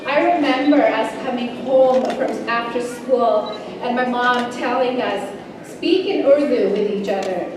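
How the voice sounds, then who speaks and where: Young woman, guest speaker, earnest and measured, slightly high voice, distant in a booming hall. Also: a crowd in the background. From Canada. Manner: earnest, measured delivery with occasional slips and restarts. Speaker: guest speaker for a multicultural education association. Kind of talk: prepared public speech. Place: large event hall. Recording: echoey hall, distant mic, background noise.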